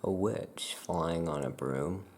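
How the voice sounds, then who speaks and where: A soft-spoken ASMR host playing a calm witch shopkeeper, talking gently and without hurry while showing items. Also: deep voice, a little hiss or hum. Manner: gentle, unhurried, hushed delivery. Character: calm Salem witch shopkeeper welcoming customers. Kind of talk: soft-spoken ASMR roleplay, product showcase.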